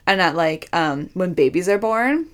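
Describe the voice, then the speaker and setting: A woman's voice: lowish voice, lively intonation, moderate pace. A young woman co-hosting a podcast in casual chat, talking loosely with lots of "like" fillers. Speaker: podcast co-host.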